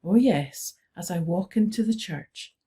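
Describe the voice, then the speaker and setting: A woman voicing a stately old Highland widow with a rich, powerful voice and a lilting Scottish Highland accent. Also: animated, a little slow, dark tone, slightly rough.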